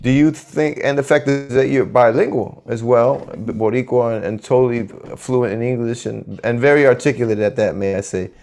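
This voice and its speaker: An interviewer rambles through a long question, piling up clauses and restarts before getting to the point. The voice sits at a middle pitch. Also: normal speed, hardly any pauses, darkish tone.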